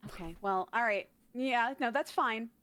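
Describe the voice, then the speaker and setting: A woman's voice: fairly high pitch, unhurried, fairly bright tone, no room sound, studio quality. A woman chatting casually as a guest on a live stream. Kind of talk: casual chat.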